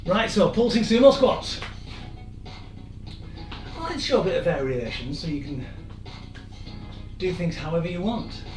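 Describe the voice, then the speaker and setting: A man, hoarse and losing his voice, leading a live-streamed workout with chatty, encouraging asides. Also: high-pitched, animated, unhurried, long pauses, far from the mic. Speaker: fitness instructor. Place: room in an old house. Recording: echoey room, off-mic, music behind.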